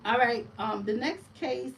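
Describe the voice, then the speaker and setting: A woman's voice: fairly high pitch, a little slow, a bit bright, recorded from a distance, a little hiss or hum, low-quality recording. Woman chatting through a card reading. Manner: chatty, keeps asking "right?" and calls listeners "family". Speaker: oracle card reader. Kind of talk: casual livestream card reading.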